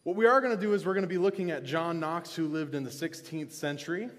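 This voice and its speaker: A male pastor giving a casual, joking sermon, often restarting and filling pauses with "um". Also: fairly high pitch. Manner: relaxed, joking, halting with fillers. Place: church sanctuary. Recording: long reverb of a church hall.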